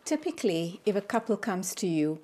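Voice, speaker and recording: A woman's voice: medium pitch, sing-song, fairly quick, dark tone. A woman hosting a talk show, interviewing a guest with direct, structured questions.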